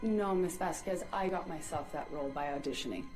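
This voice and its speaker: A woman voicing an evasive female witness in an animated clip, answering in an indignant, defensive way. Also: low-pitched, brisk, lightly acted, rough recording. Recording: clip played over music.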